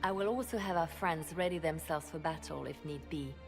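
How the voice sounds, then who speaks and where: An actress voicing a composed woman spymaster who briefs her leader in measured, precise sentences in a game cutscene. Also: deep voice, music in the background. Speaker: advisor. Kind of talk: video game cutscene dialogue.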